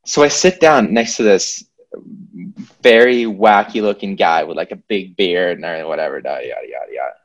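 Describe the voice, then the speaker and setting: Low-pitched man telling a story. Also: moderate pace, low-quality recording. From Sweden. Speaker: podcast participant telling an anecdote. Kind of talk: casual chat, storytelling.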